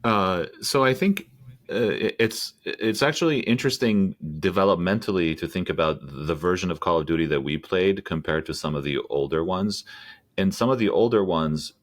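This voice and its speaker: A man's voice: deep voice, moderate pace. A man speaking as a guest in an interview, thoughtful and hedging, with frequent fillers and restarts. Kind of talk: conversational interview.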